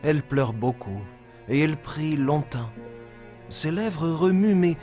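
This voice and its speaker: Lively male storyteller over soft music. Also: a little high, slow. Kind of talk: scripted children's Bible story narration.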